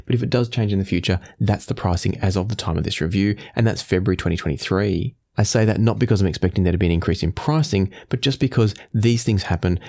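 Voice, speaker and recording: A man's voice: low-pitched, a little mellow. A man hosting a product review, explaining things thoroughly in a casual, conversational way.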